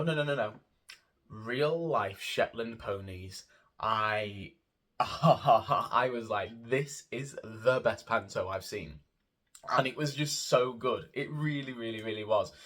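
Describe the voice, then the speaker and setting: A man's voice: medium pitch, fairly bright tone. A man giving a casual, gushing and rambling review of a theatre show as a vlogger, often repeating words for emphasis. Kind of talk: casual spoken review to camera.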